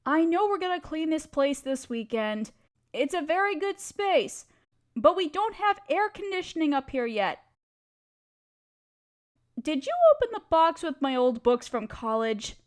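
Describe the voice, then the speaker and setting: A woman's voice: high voice, lively intonation, measured pace. A woman playing a playful, affectionate wife who loves poetry, theatrical and teasing, in a romantic audio roleplay.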